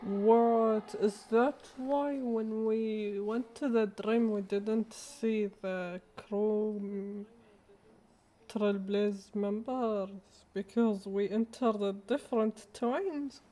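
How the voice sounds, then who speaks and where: Slow, mellow-voiced woman livestreamer. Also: medium pitch, fairly even intonation. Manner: musing, echoes phrases from the game. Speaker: game livestreamer. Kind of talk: casual livestream reactions while playing.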